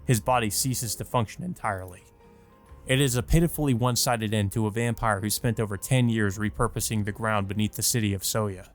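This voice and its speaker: A man's voice: fairly low pitch, a little fast, faint music behind. A man hosting a let's play, reading a visual novel aloud and chatting about it in a casual, rambling way full of fillers. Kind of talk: visual novel read-through with commentary.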